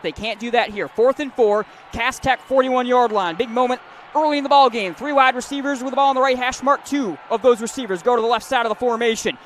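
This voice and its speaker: A high-voiced male sports announcer delivers live football play-by-play for radio. He describes the action in fluent detail, with some outdoor noise behind him. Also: a bit bright.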